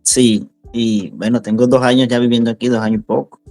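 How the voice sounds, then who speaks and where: A man's voice: medium pitch, level tone, brisk, a little mellow, faint music behind. A young man from central Venezuela with a Venezuelan accent, talking casually as an interview guest and repeating words for emphasis. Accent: Venezuelan Spanish.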